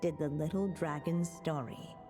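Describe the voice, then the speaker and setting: A woman playing a tender, solemn witch mother, narrating a storybook tale in a video game with gentle, measured delivery. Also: low-pitched, music in the background.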